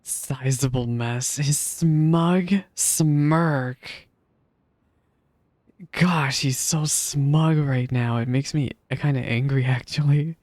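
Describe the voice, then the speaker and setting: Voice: mid-range voice, drawn-out words, darkish tone, no echo. Dry-sounding streamer reacting casually. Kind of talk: casual live reaction commentary.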